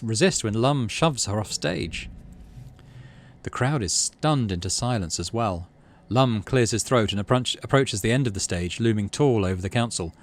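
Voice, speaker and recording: A man, middle-aged, hosting a game playthrough, mixing casual commentary with expressive story reading. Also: on the low side. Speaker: let's play host.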